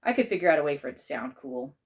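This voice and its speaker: A woman narrates a children's storybook in a fairly low register. Her delivery is animated and dramatized, with pitch that moves freely as she reads in a child's first person. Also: rapid-fire. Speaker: author and teacher reading aloud.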